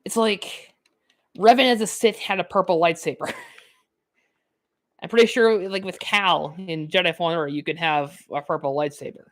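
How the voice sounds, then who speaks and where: A woman's voice: on the low side, moderate pace. A nineteen-year-old woman giving rambling, chatty commentary while playing a video game, full of "like" fillers and frequent laughs. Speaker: gaming YouTuber. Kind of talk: rambling let's-play commentary.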